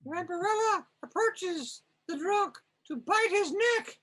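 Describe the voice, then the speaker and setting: A woman's voice: extremely high pitch, animated, slow pace, a bit bright. A woman casually narrating comic panels in a wry, amused, improvised way. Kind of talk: improvised, casual scene description.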